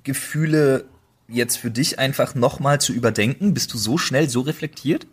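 A man's voice: medium pitch, moderate pace, husky. A young man hosting a casual podcast chat, playful and rambling, laughing often as he talks. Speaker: podcast host.

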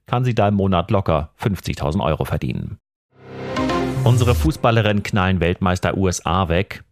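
A man reading tabloid news items in a brisk, scripted delivery. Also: sits low, no room sound, studio quality. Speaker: newsreader.

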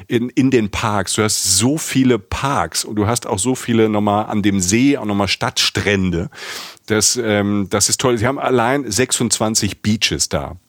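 A man chats casually about his travels, his voice sitting a little on the low side. He talks with enthusiasm but keeps restarting and filling gaps. The sound is dry and close, with no room around it. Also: dry recording. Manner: rambling, many restarts and fillers. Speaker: podcast co-host.

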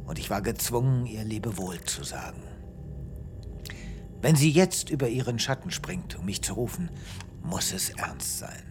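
An actor playing a self-important, restless space veterinarian with a theatrical manner in a comedic sci-fi audio drama. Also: male voice, on the low side, big pitch swings, normal speed, soft background music. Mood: brooding, self-important. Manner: pompous, theatrical.

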